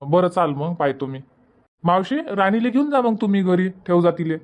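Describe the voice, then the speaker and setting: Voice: mid-range voice, moderate pace, a little mellow, close to an everyday voice. A performer voicing a plain, obliging village husband in a cartoon comedy, with a Varhadi Marathi accent. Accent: Varhadi Marathi from Vidarbha.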